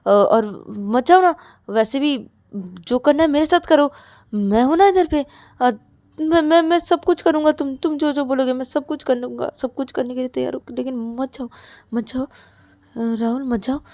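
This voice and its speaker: Woman doing desperate clingy husband in an audio story, high stammery tearful voice, super dry close sound. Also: fairly quick, few pauses, dark tone, dry recording. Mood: eager, submissive pleading. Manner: stammering, repeating words.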